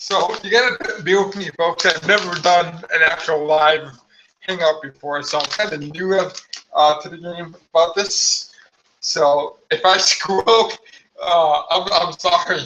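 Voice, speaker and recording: A hoarse man chatting casually on a live stream from home, rambling with many fillers. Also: on the high side, steady tone, unhurried, background noise. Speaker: vlogger hosting a live stream. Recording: slightly dull lo-fi live stream audio.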